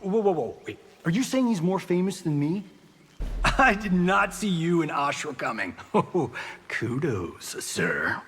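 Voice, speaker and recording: A brash, wisecracking movie star brawler from a video game. His high voice is husky and swings widely in pitch, with a long reverberant tail. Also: big pitch swings, unhurried, fairly bright tone, heavily acted. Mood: cocky, teasing. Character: cocky, fast-talking vain movie star fighter.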